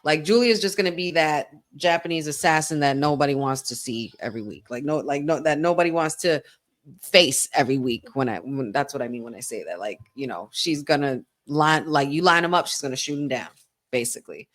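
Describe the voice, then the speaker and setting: A woman co-hosting a wrestling watch-along, talking in an excitable, chatty fan style with lots of "like". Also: sits low, a little fast. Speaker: podcast co-host. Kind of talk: casual watch-along commentary.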